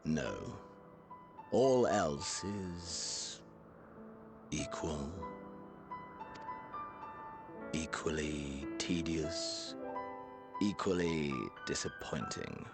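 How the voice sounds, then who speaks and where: An extremely low-voiced warrior villain for a game, brooding and nihilistic. Each phrase comes slowly, grave and heavy with menace. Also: male voice, music in the background, exaggerated voice. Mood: weary disdain. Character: brooding, nihilistic male warrior villain, bored yet obsessive. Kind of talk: dramatic video game cutscene acting.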